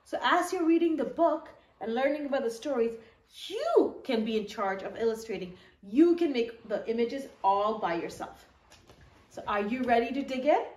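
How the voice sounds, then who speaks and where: Animated high-voiced woman reading to children. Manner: animated, asks listeners questions, acts out short quotes. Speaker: children's storyteller reading her own book. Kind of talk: read-aloud children's story with asides and questions. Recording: echoey room.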